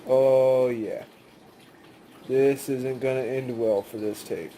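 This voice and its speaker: A man's voice: medium pitch, fairly even intonation, takes it slowly, mellow, a little hiss or hum, poor audio. A man giving a casual, rambling hands-on demonstration in a conversational way. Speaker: video maker demonstrating cassette tapes.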